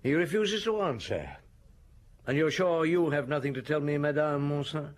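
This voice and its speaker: An actor playing a seasoned, middle-aged police detective chief in a radio drama, measured and patient, pausing with thoughtful hums. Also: male voice, fairly high pitch, natural voice. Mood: grave. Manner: musing, with thoughtful hums and pauses.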